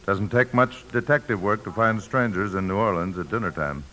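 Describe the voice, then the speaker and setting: A businesslike fixer in a vintage radio play. His voice is very low with a sharp, bright edge, and he insinuates his price after hesitant openings. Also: moderate pace, poor audio. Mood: insinuating. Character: businesslike, grim travel agent angling for a bribe. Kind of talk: 1950s radio drama acting.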